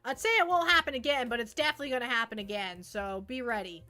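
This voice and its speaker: High, bright-voiced woman livestreamer. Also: fast, soft background music. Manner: repeats phrases, playful rambling. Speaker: livestream host, VTuber. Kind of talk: casual livestream chat with viewers.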